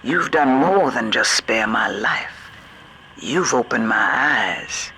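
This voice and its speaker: An actor playing a grave, plain-spoken older American, wary and stern, with deliberate folksy phrasing. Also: medium pitch, expressive, sharp tone, slight background noise, full character voice. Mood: grateful, troubled.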